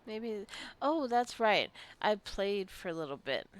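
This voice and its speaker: A woman's voice: medium pitch, big pitch swings, measured pace. A woman giving casual, unscripted gameplay commentary, rambling and thinking aloud as she plays. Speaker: gaming video creator. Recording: clicking and faint background noise.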